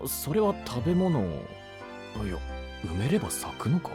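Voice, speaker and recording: Brusque young knight with wildly swinging pitch. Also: male voice, huge pitch swings, normal speed, loud music behind the voice. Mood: exasperated. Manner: blunt, rough casual speech, tsundere. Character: brusque, easily flustered, earnest young male knight. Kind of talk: anime voice drama acting.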